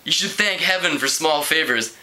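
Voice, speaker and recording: A man's voice: a little high, normal speed, pushed and bright, low-quality recording. A man voicing a young, hip female substitute teacher for a storybook, in a casual, upbeat, assured manner. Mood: confident, upbeat.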